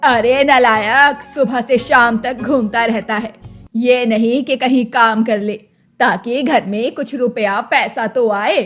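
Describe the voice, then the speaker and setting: An elderly village mother from a cartoon moral tale, played by a woman. Her voice is on the high side and full of exasperation. She scolds and laments dramatically, an anxious parent worn out by her son. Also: fairly even intonation, slow pace, a bit bright, soft background music. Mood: scolding. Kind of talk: cartoon moral story voice acting.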